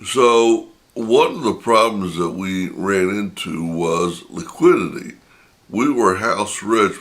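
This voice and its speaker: An older man with a deep, gravelly voice that is a touch raspy from a long session of talking. He speaks slowly and reflectively, pausing often as he tells a personal story to his viewers. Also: fairly bright tone. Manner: reflective and unhurried, many pauses. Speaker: channel host.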